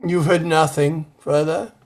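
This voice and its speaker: A man plays a devout old servant in a radio horror drama. His high voice moves slowly and gravely, with fearful, prayerful solemnity. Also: fairly even intonation, a little mellow. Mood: uneasy. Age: older. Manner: solemn, prayerful, ominous, deliberate.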